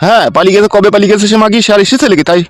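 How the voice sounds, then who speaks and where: A man voicing a crude, henpecked village uncle in a comedy cartoon, gruff and blunt, with a rural West Bengal accent. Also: high-pitched, racing, no echo, faint music behind, close to an everyday voice. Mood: blunt, scornful.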